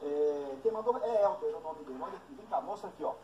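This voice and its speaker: A man's voice: a little high, expressive, fast, warm tone, highs cut off. A man interviewing and teasing a comic old character outdoors at a country house, reading him questions from fans. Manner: teasing, prodding. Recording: roomy, distant from the mic, light noise.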